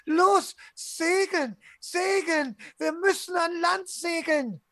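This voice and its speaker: A man voicing a terrified, confused woman who pleads in halting, repeated phrases during a tabletop roleplay. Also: extremely high pitch, bright, forceful tone, no echo, pitched above the performer's own voice, heavily acted. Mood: frightened, pleading.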